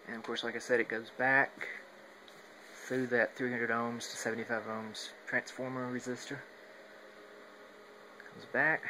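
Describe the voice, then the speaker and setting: A man giving a casual, unscripted hobbyist walkthrough on a phone, halting as he thinks aloud. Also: medium pitch, level tone, heavy background noise. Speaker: hobbyist demonstrating a home radio antenna setup. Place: at home, moving between indoors and outside. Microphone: handheld phone while filming.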